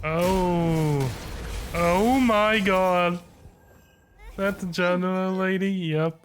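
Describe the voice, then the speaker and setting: Casting: laid-back male game streamer, slow casual delivery, music low in background. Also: steady tone. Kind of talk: casual game reaction commentary.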